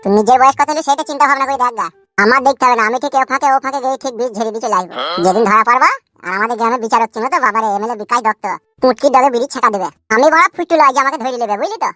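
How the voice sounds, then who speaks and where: A man's voice: very high-pitched, racing, bright, forceful tone, no room sound. A man voicing a sly, lecherous village braggart in a comic cartoon, using crude slang. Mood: sly, boastful. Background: music.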